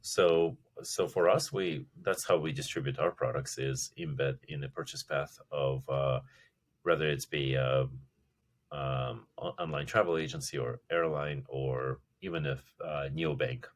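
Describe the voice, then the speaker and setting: A man in his forties, from Russia, talking as a company chief in a casual interview, halting with many restarts and fillers. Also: rumbling low, steady tone. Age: 40s. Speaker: company CEO guest.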